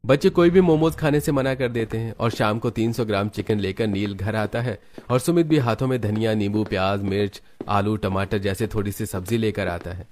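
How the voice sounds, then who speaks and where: Low-pitched man narrating a story. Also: normal speed, hardly any pauses, a little mellow. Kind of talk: scripted story narration for a cartoon.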